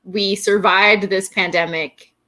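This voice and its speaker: Guest, woman around forty, mid-pitched, loose candid talk with a bit of swearing, video call audio. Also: slow. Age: 40s. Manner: relaxed and candid, with mild swearing. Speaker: guest poet. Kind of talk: casual conversation on a video call.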